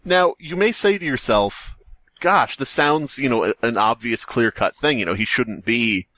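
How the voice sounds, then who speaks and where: A man's voice: medium pitch, normal speed, sharp tone, no room sound. Sardonic man rambling in casual podcast chat. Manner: rambling storyteller, sardonic joking. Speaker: podcast co-host.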